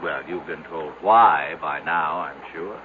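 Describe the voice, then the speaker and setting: An actor playing a wry, folksy country doctor in an old-time radio drama, teasing and unhurried in his homespun talk. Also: a bass voice, narrow, tinny audio. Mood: knowing, emphatic. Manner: teasing, drawn-out, homespun storytelling. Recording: noisy, band-limited vintage radio drama recording.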